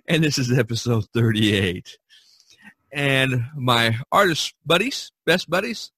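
A man hosting an artists' podcast over a video call, rambling and halting with many fillers. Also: medium pitch, lively intonation, pushed and bright, slightly rough, no echo. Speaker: podcast host. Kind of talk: casual video-call chat.

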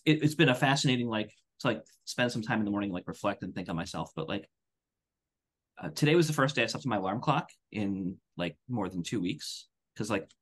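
A tired man in his thirties chatting casually on a podcast in a rambling, self-deprecating way. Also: fairly low pitch. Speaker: podcast co-host. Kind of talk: casual chat. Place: home room, video call. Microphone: headset.

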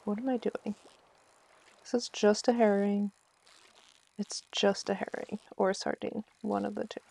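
A woman's voice: mid-range voice, little pitch movement, unhurried, vocal fry, mellow, slightly rough. A woman giving casual, chatty commentary on a live game stream, talking to herself with mock exasperation.

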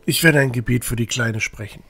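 A man playing a kindly, pious protector in an audio drama, with a husky voice and a friendly, reassuring manner. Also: mid-range voice, fairly quick, a bit bright. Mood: apologetic, reassuring.

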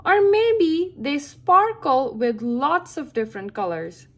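A woman's voice: high-pitched, sing-song, takes it slowly, a bit bright. A woman reading a children's science book aloud with careful phrasing meant for young listeners. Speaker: read-aloud reader for children.

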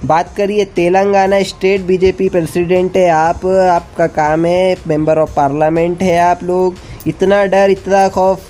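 A man's voice: sits high, mellow, a little hiss or hum. A young man from Maharashtra with an Indian accent, making an insistent, repetitive political appeal addressed directly to someone. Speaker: activist caller.

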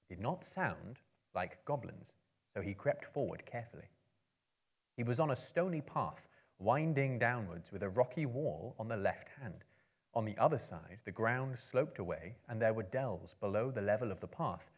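A man in his thirties giving a measured, expressive live dramatic reading as a storyteller. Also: lowish voice, slightly rough. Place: indoor book-lined venue before a small live audience.

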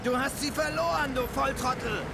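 A man's voice: extremely high pitch, moderate pace, creaky, heavy background noise. An actor playing a mouthy, sarcastic, impatient male soldier in a video game. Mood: exasperated, mocking. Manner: sarcastic, needling. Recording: very loud music behind.

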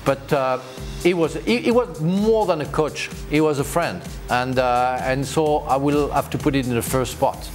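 A man with a French accent and French as his first language, talking as a football pundit in a casual panel debate, with halting restarts. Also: medium pitch, faint music behind. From France. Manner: halting, frequent restarts and fillers.

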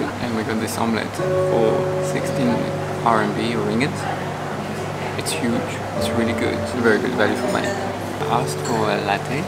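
A man's voice: lowish voice, creaky, low-quality recording. A man chatting to the camera as a travel vlogger, enthusiastic and repeating words for emphasis. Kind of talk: casual travel vlog talk. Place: outdoors and in a cafe. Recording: loud music bed over the voice. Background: loud background music.

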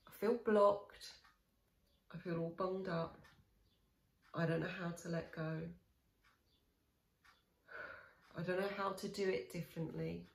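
A woman in her forties guiding a tapping session, speaking slow, soothing, repeated affirmations with sighs. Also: lowish voice, fairly even intonation, vocal fry. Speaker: EFT tapping coach. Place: small room indoors. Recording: echoey small room.

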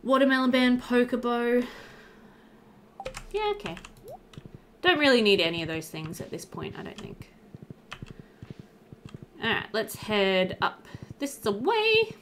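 A woman's voice: on the high side, very animated, takes it slowly, pauses often, fairly bright tone, a little hiss or hum. A woman in her thirties giving casual, chatty let's play commentary, thinking aloud and talking to the game characters. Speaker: gaming video host. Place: indoor room at home. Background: game music.

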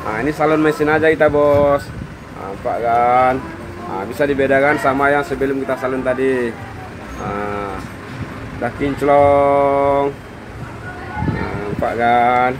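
A man's voice: medium pitch, unhurried, pauses often. A man, narrating casually and upbeat as he shows off engine detailing work in an outdoor workshop yard. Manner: chatty, upbeat, showing off the work. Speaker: car detailing vlogger. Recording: loud engine and water-jet noise.

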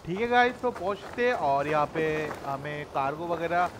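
A man's voice: on the high side, lively intonation, very fast. A young man giving casual gameplay commentary as a streamer on a headset. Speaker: gaming streamer. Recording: game noise behind voice.

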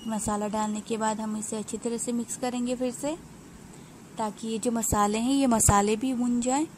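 Home-cook woman for a recipe voice-over: a higher voice, patient and explanatory, with light hesitations and a faint background hum. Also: steady tone, a little mellow. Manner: unhurried, explanatory, frequent hesitations. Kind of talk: step-by-step cooking voice-over.

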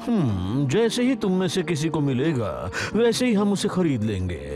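A man's voice: a little high, wildly swooping pitch, moderate pace, music in the background. A man voicing a smug, wealthy collector in a cartoon dub. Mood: smug, tempting. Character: smug, wealthy male collector making an offer. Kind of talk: Hindi cartoon dubbing.

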